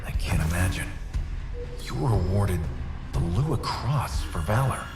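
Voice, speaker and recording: Deep, echoing, earnest young warrior. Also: male voice, expressive, measured pace. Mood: respectful. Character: earnest young warrior son, devoted and respectful. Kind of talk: cinematic video game voice acting. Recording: echoey, music behind.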